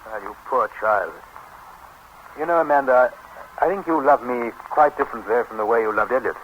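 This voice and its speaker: An actor playing an eager, jealous, besotted British newlywed husband in a 1930s radio comedy, stammering and insistent. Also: male voice, medium pitch, brisk, natural voice. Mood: earnest, insistent. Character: earnest, besotted, jealous English newlywed husband, big athletic type. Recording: muffled, hissy 1930s radio broadcast.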